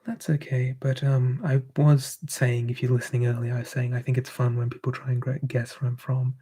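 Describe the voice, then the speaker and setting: An ASMR streamer, softly whispering and musing while answering live chat on a close mic. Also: mid-range voice, level tone, brisk, husky. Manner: soft, drifting between whisper and quiet voice, musing.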